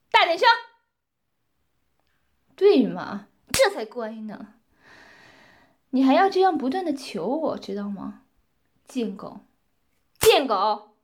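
A woman playing a domineering, scornful mistress who gives commands and taunts, sometimes dropping to breathy whispers. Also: sits high, a bit bright, full character voice. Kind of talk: roleplay audio drama.

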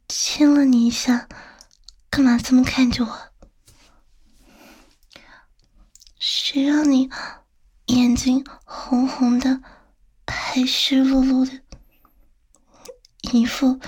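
Woman playing flirty lady boss in an audio roleplay, higher voice, super breathy and whispery, slow and teasing. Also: level tone, a little mellow, full character voice. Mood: flirtatious. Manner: slow, coaxing, tender, teasing. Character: female boss, teasing and coaxing, flirting with younger employee.

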